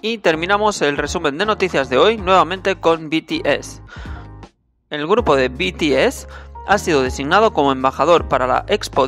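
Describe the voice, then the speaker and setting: A man's voice: fairly high pitch, fairly bright tone. A man hosting a scripted entertainment news roundup, addressing viewers directly as a presenter. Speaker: host of a Korean entertainment news channel. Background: music under the voice.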